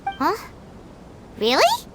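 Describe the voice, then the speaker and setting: A woman voicing a small, childlike, excitable fairy companion in a video game, squeaky and blunt. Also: extremely slow, a little hiss or hum, exaggerated voice. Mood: puzzled, indignant. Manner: exclamatory, speaks of herself in third person. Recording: music behind the voice.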